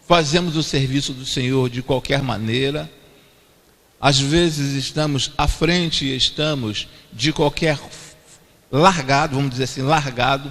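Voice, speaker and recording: A man with a Brazilian accent preaching a verse-by-verse sermon, repeating each point twice for emphasis. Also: a little high. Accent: Brazilian Portuguese. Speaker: pastor. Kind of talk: expository sermon. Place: church sanctuary. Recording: long church-hall reverb.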